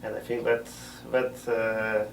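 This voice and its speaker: A middle-aged man, a missionary priest answering interview questions in a measured way, often pausing to find words. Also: fairly low pitch, flat delivery, a little hiss or hum. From Poland. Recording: roomy sound from a distant mic.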